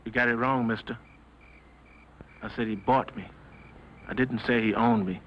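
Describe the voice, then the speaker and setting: An actor playing a weary, proud former slave farmhand in an old western, speaking plainly and tersely. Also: male voice, mid-range voice, fairly even intonation, moderate pace, close to an everyday voice. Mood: firm, quiet. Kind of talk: old western film dialogue. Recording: dull lo-fi film audio.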